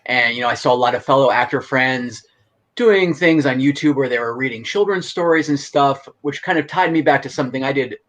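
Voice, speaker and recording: A middle-aged man speaks as an interview guest in a medium-pitched voice. He explains things casually and with enthusiasm. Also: brisk, highs cut off. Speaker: guest, actor and writer.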